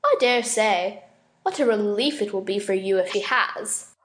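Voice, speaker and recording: A woman's voice: medium pitch, unhurried, pitched above the performer's own voice, natural voice. A woman voicing a peevish, fretful young woman in a storybook, with a querulous, protesting manner. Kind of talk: audiobook character dialogue.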